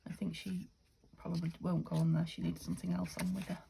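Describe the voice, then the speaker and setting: British lady doing a craft vlog, low mellow voice, bit croaky and gravelly, half whispering to herself. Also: little pitch movement, quick pace, a little hiss or hum. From United Kingdom. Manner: soft-spoken, thinking aloud, often half-whispering. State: a little croaky. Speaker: craft vlogger. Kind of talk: casual craft-along chat.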